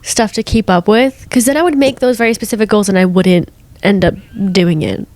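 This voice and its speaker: A woman's voice: mid-range voice, fairly quick, dry recording. Congested young woman, rambling chat. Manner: relaxed, rambling, filler-heavy. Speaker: podcast co-host. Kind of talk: casual chat.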